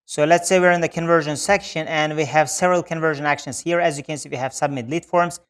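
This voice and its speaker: A man who speaks as a narrates a screen-share tutorial as a specialist presenter, explaining methodically step by step. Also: a little high.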